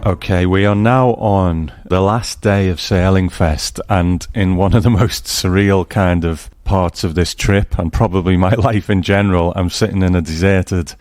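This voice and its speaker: A man's voice: deep voice, steady tone, moderate pace, hardly any pauses, darkish tone, soft background music. A man hosting a podcast in a casual, conversational style. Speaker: podcast host. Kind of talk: casual chat.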